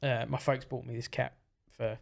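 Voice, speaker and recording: A young man with a husky voice and a gravelly fry on the ends of his words. He talks as a podcast guest in a relaxed, rambling way. His pitch sits in a plain middle range. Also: moderate pace. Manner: rambling, with many fillers and restarts. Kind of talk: casual chat.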